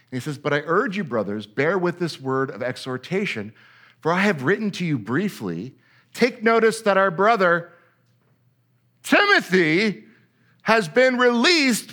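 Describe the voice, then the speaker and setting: A man's voice: a little high, fairly bright tone. Emphatic man teaching a sermon. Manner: emphatic, repeats words for stress; reads scripture aloud then explains it.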